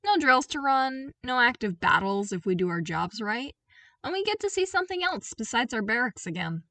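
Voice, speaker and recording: A woman's voice: a little high, sing-song, normal speed, bright, forceful tone, no room sound, distant mic, pitched above the performer's own voice, heavily acted. Woman doing a breezy, blunt male trooper. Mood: breezy, frank. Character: laid-back, chatty male armored trooper, frank grunt. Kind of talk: fan fiction audiobook reading.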